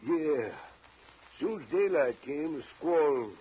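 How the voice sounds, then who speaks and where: An actor playing a plain-spoken old riverboat pilot with a rustic drawl in a 1930s radio drama. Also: male voice, fairly high pitch, lively intonation, takes it slowly. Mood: subdued, reluctant. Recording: dull, lightly noisy 1930s radio recording.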